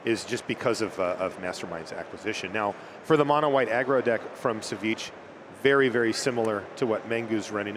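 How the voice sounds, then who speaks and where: A man commentating a card game tournament in a lively, conversational way, with filler words here and there. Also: lowish voice, background noise. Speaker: esports commentator. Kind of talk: play-by-play commentary.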